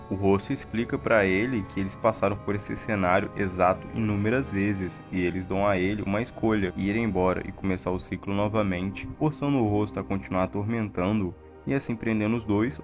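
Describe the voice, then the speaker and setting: A man with a Brazilian accent giving scripted video-essay narration and analysis. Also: lowish voice. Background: background music.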